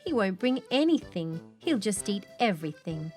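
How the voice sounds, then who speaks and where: An elderly storybook grandmother speaks, acted by a woman narrator. She is kindly and cheerful, fond of her family and easily amused. Soft music runs behind her. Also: mid-range voice, sing-song, normal speed, close to an everyday voice. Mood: amused teasing.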